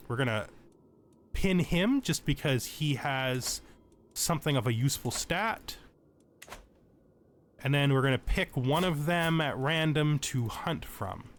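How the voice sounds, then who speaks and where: A man's voice: fairly high pitch, a little hiss or hum. A man giving casual tutorial commentary over a video game, explaining things as he thinks aloud.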